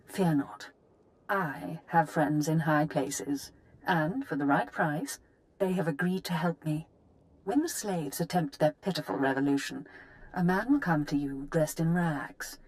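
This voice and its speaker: Woman doing posh romantic noble lady in a game, theatrical love letter voice, kinda low. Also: a little mellow, lightly acted, rough recording. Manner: genteel, theatrical. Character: aristocratic Imperial noblewoman, romantic, scheming. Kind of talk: video game acting, reading a love letter.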